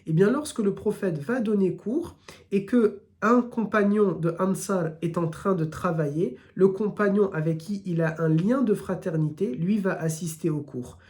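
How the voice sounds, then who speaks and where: A man's voice: high voice, moderate pace, darkish tone. A man with a southern French accent giving a live religious lesson, building his points through repeated lists and speaking straight to his listeners. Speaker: Islamic teacher.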